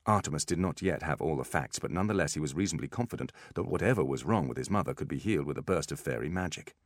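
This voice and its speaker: A man's voice: rumbling low, animated, very fast, dry recording, clean studio sound. A man reading a story aloud as scripted audiobook narration, in a dramatic style.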